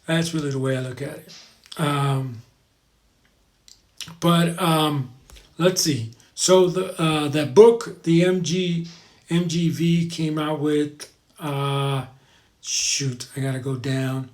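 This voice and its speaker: A man's voice: a little high, fairly even intonation, slow pace, long pauses, darkish tone. A man hosting a casual livestream, chatting informally to viewers and rambling as he repeats his advice for emphasis. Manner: informal, addresses viewers as guys. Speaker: live show host. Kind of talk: casual livestream chat, reading viewer comments.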